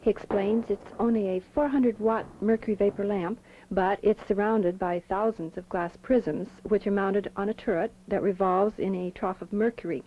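Female travelogue narrator, calm and descriptive, vintage lo-fi recording with clear hiss. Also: medium pitch, normal speed. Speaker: documentary narrator. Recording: muffled old film soundtrack with clear hiss.

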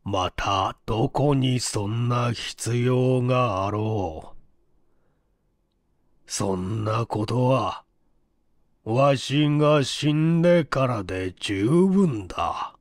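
A frail but stubborn old man, acted out by a male reader in a mystery audiobook. He speaks very slowly and weightily, in a flat, toneless way, and refuses to bend. The sound is dry. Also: medium pitch, dry recording. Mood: stern, defiant. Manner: slow, halting, weighty speech. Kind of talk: dramatic audiobook character reading.

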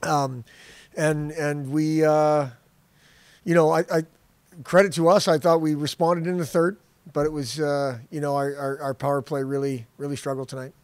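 A middle-aged man, a head hockey coach, answering at a post-game press conference, halting and repeating words but blunt. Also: fairly high pitch, level tone, dry recording. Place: press room backdrop. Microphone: podium mic.

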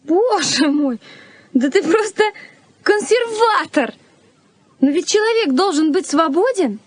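High-voiced young fiancée. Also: female voice, expressive, measured pace, no echo. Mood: indignant. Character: lively, independent, coaxing young fiancée. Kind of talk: Soviet radio play acting.

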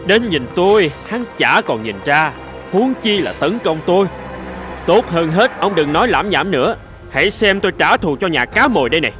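A man's voice: high voice, very animated, moderate pace, a bit bright, faint music behind. A man voicing a spiteful, cocky male sardine in a cartoon fable, mocking and gloating theatrically. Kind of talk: animated fable voice acting.

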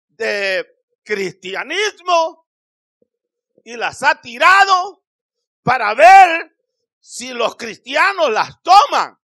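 A middle-aged man preaching a sermon in a small church hall, speaking into a handheld microphone with emphatic, drawn-out phrases that rise to shouts. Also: very high voice. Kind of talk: Spanish-language Pentecostal sermon.

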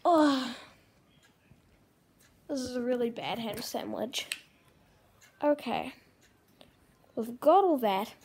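A woman hosting a homemade puppet cooking show at a kitchen table, talking in a hesitant, halting way with many ums. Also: fairly high pitch, a little mellow, slight background noise. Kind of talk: improvised puppet comedy.

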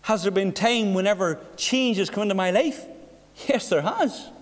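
A middle-aged man preaching a sermon behind a pulpit, earnest, with rhetorical questions and hushed passages. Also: high-pitched, expressive, normal speed. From Northern Ireland. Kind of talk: sermon with personal story. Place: church hall behind a wooden pulpit. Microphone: pulpit mic from a far camera. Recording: echoey church hall.

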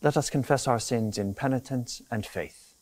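Man, middle-aged, deacon, solemn measured prayer reading, medium pitch. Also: a little mellow. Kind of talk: formal read prayer. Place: inside a church. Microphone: clip-on lapel mic.

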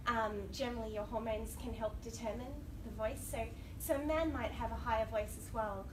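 A woman's voice: a little high, fairly even intonation, brisk. A woman with an Australian accent giving a conference talk with a cold, chatty and laughing often, bantering with the audience. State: has a cold. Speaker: academic panel speaker. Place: lecture room. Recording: distant, noisy room recording.

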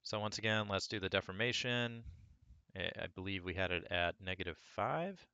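A man with a hoarse voice from a cold, talking through a sculpting tutorial step by step in a relaxed way. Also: sits low, normal speed, no echo, dull audio. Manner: relaxed, talks through each step as he works. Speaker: 3D sculpting instructor. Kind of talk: screen-recorded software tutorial.

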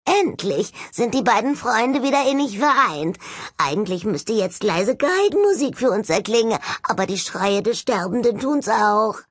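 A woman's voice: a little high, animated, normal speed, breathy edge, no echo, voice pushed up. A sardonic talking skull of a ghost, acted by a woman narrator. It drawls its morbid remarks with theatrical mockery, in a very dry, close recording made for an audiobook. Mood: gleefully mocking.

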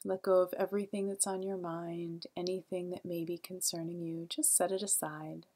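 A woman leading a guided meditation in a calm, gentle, soothing way, with a soft, breathy voice and long pauses. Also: lowish voice.

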